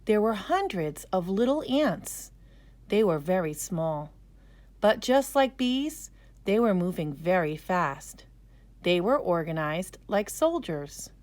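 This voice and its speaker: A woman storyteller narrating a scripted children's story in a careful, expressive way. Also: fairly low pitch, takes it slowly.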